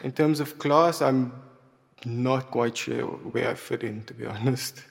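A man speaking calm, reflective statements in an art video. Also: medium pitch, a little slow, gravelly. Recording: art video played in a reverberant hall.

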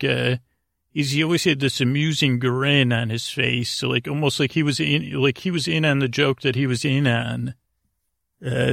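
A man hosting a sleep podcast in a halting, meandering, goofy and self-deprecating monologue, with lulling delivery and a gravelly voice. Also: medium pitch, fairly bright tone, no echo, clean studio sound. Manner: meandering, halting, stumbling over words. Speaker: podcast host. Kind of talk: rambling bedtime monologue to help listeners sleep.

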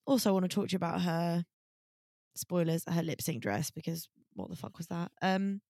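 A woman's voice: fairly low pitch, expressive, brisk, vocal fry, darkish tone, no room sound, clean studio sound. A woman co-hosting a podcast, chatting casually about fashion in a blunt, sweary, enthusiastic way. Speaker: podcast co-host. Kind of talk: casual chat, fashion commentary.

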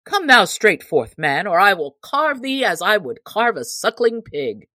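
A woman's voice: mid-range voice, big pitch swings, measured pace, bright, forceful tone, no room sound, voice pushed up, exaggerated voice. A woman voicing a tall, stout, blustering male cook in a storybook, with threatening archaic speech.

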